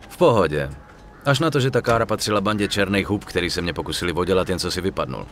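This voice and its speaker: An actor playing a cool, wary young war veteran turned petty gangster in a crime game dub. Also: male voice, low-pitched, lively intonation, a bit bright, slight background noise. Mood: guarded. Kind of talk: dubbed crime game dialogue.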